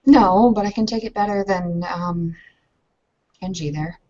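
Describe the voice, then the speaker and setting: A woman playing a proud, guarded young fighter in a tabletop game, talking in a halting, earnest way. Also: mid-range voice, dark tone, natural voice. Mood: proud, defensive. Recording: muffled lo-fi voice recording.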